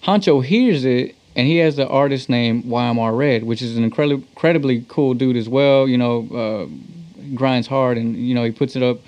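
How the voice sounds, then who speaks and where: A man talks casually as an interview guest. His speech is slangy and conversational, at a middling pitch, and the audio is slightly dull and muffled. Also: normal speed, few pauses, darkish tone. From Texas. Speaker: interview guest, talk box musician. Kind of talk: casual chat.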